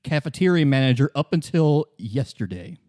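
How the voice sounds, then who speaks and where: A man acting as game master, narrating and explaining a story casually in halting, improvised phrases. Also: medium pitch, sing-song, mellow, no room sound, studio quality. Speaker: game master, podcast co-host.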